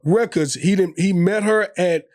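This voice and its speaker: Man, podcast co-host, argumentative, picks holes in points, slightly high voice. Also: unhurried, a crowd in the background. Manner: argumentative, picks apart the others' claims. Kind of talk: casual chat.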